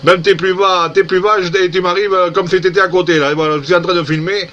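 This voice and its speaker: A man's voice: high-pitched, fairly even intonation, fast, a bit bright. A man chatting casually as an amateur radio operator, amazed and repeating himself. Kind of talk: casual CB-style radio contact. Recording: dull lo-fi radio contact recording.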